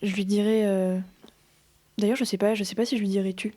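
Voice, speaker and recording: A woman in her twenties shares her views in a casual table chat, hedging as she goes and filling pauses often. Her voice is mid-pitched with a mellow, soft tone. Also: little pitch movement. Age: 20s. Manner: thoughtful, hedging talk with many fillers.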